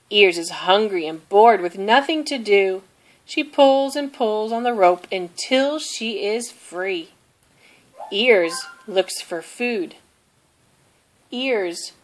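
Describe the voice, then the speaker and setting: A woman reading a children's picture book aloud in a deliberate, simple storytelling manner, with expressive delivery of the characters' lines. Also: mid-range voice. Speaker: storybook reader.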